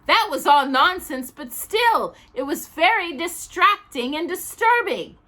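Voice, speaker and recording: A woman's voice: very high-pitched, animated, measured pace, bright, forceful tone, voice pushed up, full character voice. Irritable male thespian for a storybook, female reader. Fussy, pompous, swinging intonation. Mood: exasperated. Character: irritable, grumbling male actor, pompous stage veteran.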